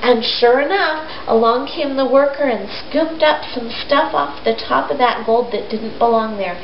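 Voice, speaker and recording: Woman, children's storyteller, high voice, unhurried, gentle teaching delivery, dull lo-fi sound. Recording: roomy echo, dull sound, faint noise.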